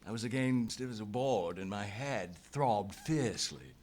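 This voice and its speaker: An actor playing an earnest young adventurer hero in a live radio play, speaking in dramatic, literary phrasing. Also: male voice, lowish voice, animated, unhurried, a little mellow. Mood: weary narration. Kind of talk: live old-time radio play acting and narration.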